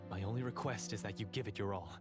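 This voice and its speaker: A man's voice: fairly low pitch, fast, natural voice. A man playing an earnest, courteous, honour-bound man in a video game, speaking formally. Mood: grave resolve. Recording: game music under the voice.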